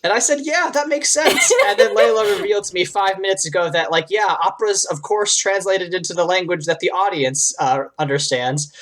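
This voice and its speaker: A woman's voice: lowish voice, fairly quick, few pauses, slight background noise. A woman co-hosting a casual comedy podcast, chatting in a giggly way with playful exasperation. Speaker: podcast co-host.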